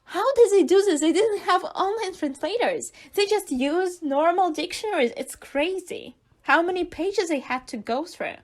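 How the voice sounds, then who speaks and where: A woman with a Russian accent, a native Russian speaker, co-hosting a podcast in casual chat, thoughtful and pausing with fillers as she searches for words. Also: very high-pitched, lively intonation. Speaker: podcast co-host.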